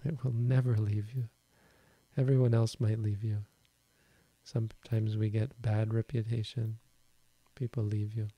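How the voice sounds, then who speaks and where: A man with a quiet voice giving a calm, slow Buddhist talk to an online audience. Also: fairly low pitch, dry recording. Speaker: Buddhist teacher. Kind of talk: dharma talk.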